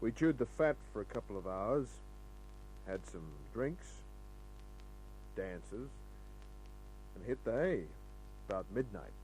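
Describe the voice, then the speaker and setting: Low-voiced wisecracking radio detective. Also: male voice, lively intonation, takes it slowly, pauses often, a little mellow, poor audio. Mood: dry, narrating. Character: wisecracking male private detective, flirtatious, tough, easygoing. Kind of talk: old-time radio drama acting with first-person narration. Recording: old radio recording with hum.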